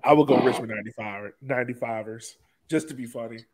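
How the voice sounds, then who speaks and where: An American man of medium pitch hosts an informal podcast chat. His phrasing is loose and off the cuff, starting and stopping as he goes. There is slight noise in the background. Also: quick pace, a little mellow. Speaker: podcast host. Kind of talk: casual podcast hosting and chat.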